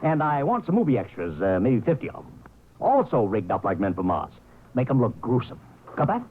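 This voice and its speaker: Picture an actor as a brash American publicity man from 1950s radio drama. He is slangy and cynical, a born wheeler-dealer, with a lively voice that swings widely in pitch. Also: a little fast, phone-quality sound, a little hiss or hum, lightly acted. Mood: brisk, bossy.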